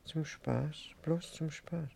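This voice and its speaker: Creaky, gentle, fatalistic man in hiding. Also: fairly high pitch, steady tone, slow, exaggerated voice. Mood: grim, fatalistic. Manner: quiet, pensive, plain-spoken. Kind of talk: 1950s German radio play acting.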